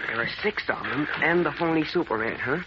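A man's voice: a little high, animated, fairly quick, natural voice. An actor playing a cheeky, wisecracking, eager teenage boy sidekick in 1940s radio style. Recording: muffled, very noisy 1940s radio recording.

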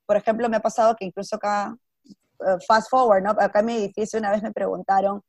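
A woman's voice: mid-range voice, little pitch movement, quick pace, dry recording. A woman from Peru with a Peruvian accent, chatting casually and telling personal stories, slipping in English words and acting out what people said. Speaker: interview guest.

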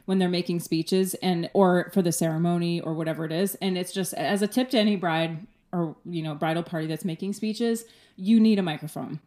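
A woman's voice: on the low side, steady tone, a little fast, dark tone. A woman co-hosting a podcast, giving practical advice in emphatic casual chat. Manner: emphatic, gives practical tips. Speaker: podcast co-host, wedding musician.

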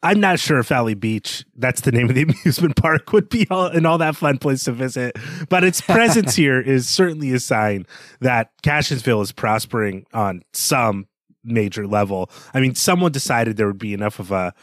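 A man's voice: mid-range voice, expressive, moderate pace, fairly bright tone, dry recording. Wry man discussing books, dry recording. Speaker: podcast co-host. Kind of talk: conversational literary discussion.

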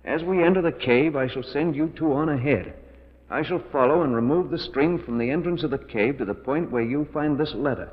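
A man's voice: fairly high pitch, brisk, natural voice. An actor playing a tense, guilty man trapped in a cave, in emphatic 1940s radio melodrama. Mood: grim, reading aloud. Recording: muffled 1940s radio recording, reverberant.

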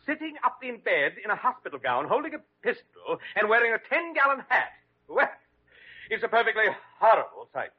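A muffled vintage radio recording of a flustered, prissy hospital administrator. His high, husky voice swoops about as he frets in precise, scandalized radio-play style. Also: sing-song, fairly quick, heavily acted. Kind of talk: 1940s-50s American radio drama acting.

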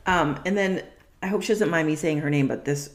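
A woman with a naturally low voice co-hosting a podcast. She chats casually and agreeably, dropping in quick affirmations and the odd "um" as she goes. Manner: agreeable, frequent short affirmations, some filler "um"s. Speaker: podcast co-host. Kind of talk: casual chat.

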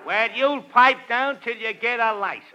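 An actor playing a bossy, officious American park policeman in an old-time radio comedy. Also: extremely high pitch. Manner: slow, officious. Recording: muffled, hissy vintage radio recording.

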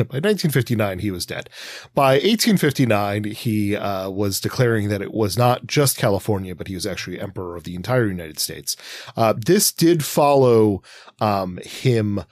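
A man with a fairly low voice gives an informal history explanation as a podcast co-host. He proceeds haltingly, with frequent hesitations, and the sound is dry with little room on it. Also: lively intonation, no room sound.

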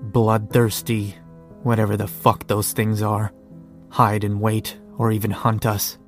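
Weary, sardonic male survivor, actor-played, low and flat, narrating a horror audio diary over soft music. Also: measured pace, natural voice. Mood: grim. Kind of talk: first-person dramatic diary narration.

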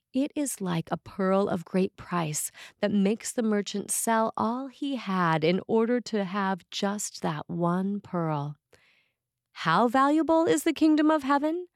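A woman preacher reading a scripted sermon aloud in measured, deliberate phrases with emphatic pauses. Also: mid-range voice, a little mellow, no room sound, clean studio sound. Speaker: preacher, priest.

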